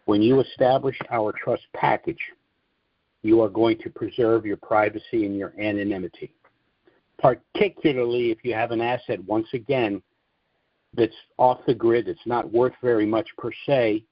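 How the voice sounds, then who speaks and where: Guy hosting a conference call, measured explainer talk w opinions, dry muffled lo-fi sound. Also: on the low side, steady tone, breathy edge, no room sound. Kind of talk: informal monologue commentary and briefing. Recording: dull, lo-fi conference call audio.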